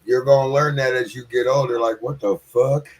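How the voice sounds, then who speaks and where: A man's voice: medium pitch, fairly even intonation, moderate pace, a loud crowd, rough recording. A man in his forties joking and teasing in a casual podcast chat. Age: 40s.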